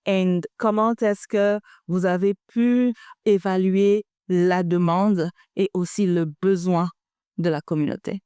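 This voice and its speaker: A woman's voice: on the low side, fairly even intonation, measured pace, mellow, clear, resonant tone, dry recording, clean studio sound. A woman hosting a podcast interview, who asks long questions and switches between English and French. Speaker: podcast host.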